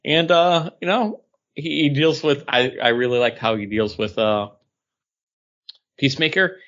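A man's voice: medium pitch, a little slow, fairly bright tone. A man chatting casually about comics as a podcast co-host, in a conversational way. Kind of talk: casual chat reviewing comics. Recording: dull lo-fi sound.

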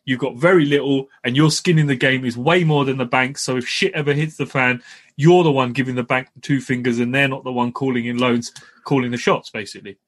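Quick-talking upbeat co-host. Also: male voice, mid-range voice, fairly even intonation. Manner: upbeat, calls people mate. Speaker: podcast co-host. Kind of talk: casual chat.

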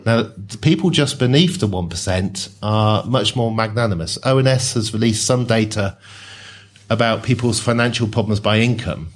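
Low-voiced man discussing class. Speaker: speaker on social class. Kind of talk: discussion talk.

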